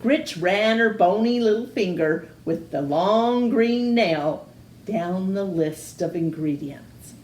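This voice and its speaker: A woman reading a picture book aloud to children in an expressive storytelling manner. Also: mid-range voice, slow, slight background noise. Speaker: storytime reader. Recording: echoey room.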